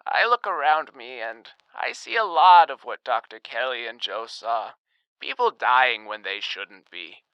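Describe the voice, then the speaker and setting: A man's voice: a little high, gravelly, pushed and bright, clear, resonant tone, dry recording, lo-fi sound, heavily acted. A young man playing an earnest, reflective host of an old-time radio show. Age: young adult. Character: earnest, hopeful 1918 radio host addressing dear listeners.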